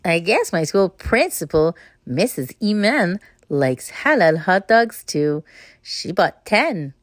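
Animated woman reading a children's story aloud. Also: lowish voice, no echo, clean studio sound. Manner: expressive, deliberate phrasing. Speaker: storybook reader. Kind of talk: children's read-aloud narration in the voice of a child character.

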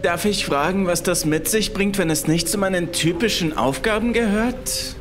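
A man's voice: high voice, normal speed, music in the background, voice pushed up, lightly acted. A man voicing an eager young male scientist, earnest and willing, in a horror audio story. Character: eager young male ocean scientist answering his boss.

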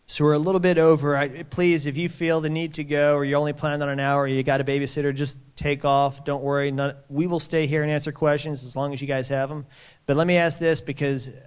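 Smooth-voiced man hosting a town hall. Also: a little high, flat delivery, brisk. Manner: plainspoken, reassuring, reads audience questions aloud. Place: conference center.

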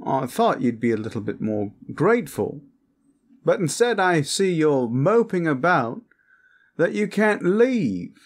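A man's voice: a little high, very animated. A man voicing a lecherous, flamboyant vampire protector in a comedic audio roleplay, smug, pompous and suggestive. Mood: smug, chiding. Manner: theatrical, drawn-out, suggestive, pompous. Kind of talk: comedic character roleplay monologue, talking to the listener.

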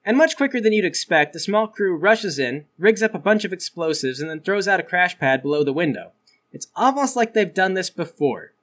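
A man's voice: high voice, animated, brisk, a bit bright. A man working as game master, improvising narration for a tabletop game.

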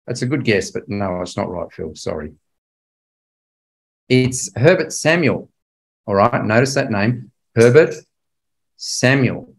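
A man's voice: lowish voice, animated, takes it slowly, long pauses, low-quality recording. An older man giving a Bible class history lecture in a conversational, emphatic way, often putting rhetorical questions to his listeners.

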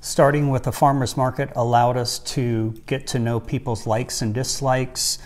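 A man in his forties, a farmer and co-host, giving measured, plain-spoken advice in a casual chat. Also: mid-range voice, level tone. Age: 40s. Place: small wood-panelled room indoors by a stove. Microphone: lapel mic.

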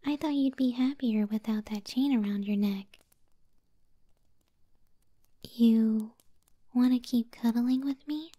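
A woman voicing a possessive, doting moth monster girlfriend in a soft, sweet, breathy ASMR whisper, close to the microphone. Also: on the high side, a little slow, no room sound. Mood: affectionate. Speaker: ASMR roleplay performer.

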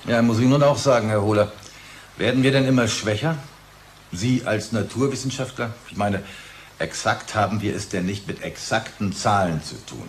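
Male company boss for radio play, acted: businesslike, jovial yet pushy, fairly deep. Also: moderate pace, a little hiss or hum, natural voice. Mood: placating, patronizing.

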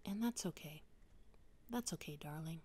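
A young woman whispering softly through a slow ASMR poetry reading, drifting close to and away from the microphone. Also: sits low, expressive, slightly rough. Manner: soft unvoiced whispering, varied mic distance.

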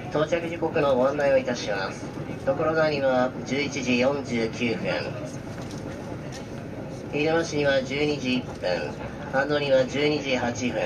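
A man with a mid-pitched voice gives a formal conductor's announcement. He is polite and measured in wording. The PA sounds distant, with clear train noise around it. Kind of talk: scripted passenger announcement. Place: inside a moving train. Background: train running noise.

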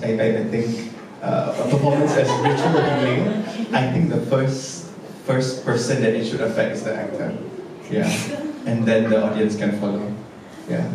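A man's voice: mid-range voice, moderate pace, hardly any pauses. A man, a theatre director speaking hesitantly and reflectively on a panel after a show, with many fillers and restarts. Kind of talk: post-show panel discussion. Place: large theatre stage. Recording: echoey theatre from a distance with noise.

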